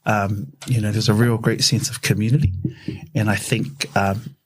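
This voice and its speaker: A young man with a New Zealand accent talking in a relaxed interview, often tagging his sentences with "eh". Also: lowish voice, normal speed, slight background noise. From West Auckland, New Zealand. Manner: relaxed, says "eh" a lot, frequent fillers. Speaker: podcast guest. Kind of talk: casual interview.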